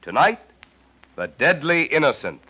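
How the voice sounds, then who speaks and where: A man's voice: fairly high pitch, sing-song, measured pace, breathy edge, heavily acted. An American man performing as an emphatic sponsor announcer in a 1940s radio broadcast. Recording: dull vintage radio recording.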